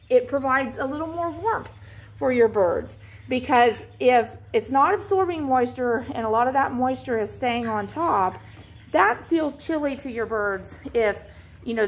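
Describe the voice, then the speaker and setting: A woman poultry farmer explaining floor care as she films inside her chicken barn on a handheld phone, walking listeners through her reasoning. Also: fairly high pitch. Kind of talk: instructional farm walkthrough. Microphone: handheld phone camera.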